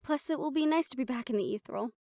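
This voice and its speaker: Casting: actress as playful siren-banshee hybrid woman for audio roleplay; higher voice, dry close sound, casual and chatty, a little wistful. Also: dark tone, no echo. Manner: casual, chatty, halting, with small laughs. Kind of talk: intimate audio roleplay, one-sided conversation with listener.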